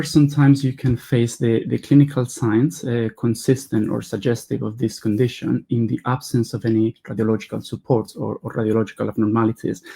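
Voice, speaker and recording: A man's voice: medium pitch, creaky, dark tone, husky. A man, presenting research in a webinar lecture over a video call, earnest and explanatory, with frequent hesitations and self-corrections. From Spain. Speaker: veterinary neurology resident presenting research.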